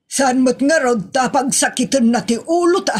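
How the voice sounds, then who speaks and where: A woman's voice: sits high, normal speed, darkish tone. A woman playing a scolding, exclamatory wife with an Ilocano accent in a comedy audio drama. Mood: exasperated.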